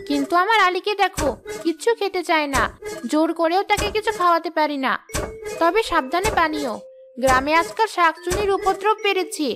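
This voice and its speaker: A woman's voice: extremely high pitch, background noise. A woman playing a gossipy, superstitious village neighbour and mother in a cartoon, chatty and earnest. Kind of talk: cartoon voice acting.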